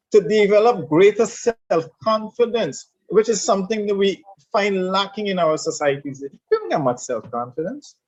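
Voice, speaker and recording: Emphatic Caribbean man, fairly high voice. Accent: Caribbean English. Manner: emphatic and repetitive, lecturing. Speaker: guest speaker on leadership. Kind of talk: conversational discussion. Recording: dull lo-fi sound.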